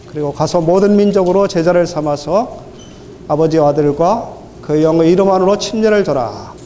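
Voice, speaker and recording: Hoarse man preaching in an echoey hall. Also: high-pitched, mellow, slight background noise. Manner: hesitant, many drawn-out fillers. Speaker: church speaker. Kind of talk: sermon.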